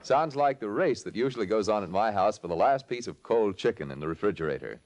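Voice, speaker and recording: Lively, low-voiced American radio announcer man. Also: quick pace. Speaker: announcer and narrator. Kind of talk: 1940s-50s radio announcing, scripted pitch and narration.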